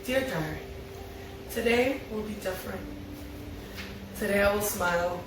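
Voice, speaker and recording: A woman's voice: medium pitch, unhurried, noisy background. A woman reading lines from a drama script aloud at a table read. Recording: heavy room echo, distant, music behind.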